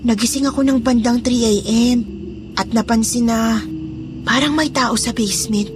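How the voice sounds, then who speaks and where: A woman's voice: a little high, close to an everyday voice. A woman playing a fearful, loyal housemaid in a Tagalog horror audio drama, confiding and deferential as she tells a suspenseful story. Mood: grave, suspenseful. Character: fearful, loyal housemaid revealing dark secrets.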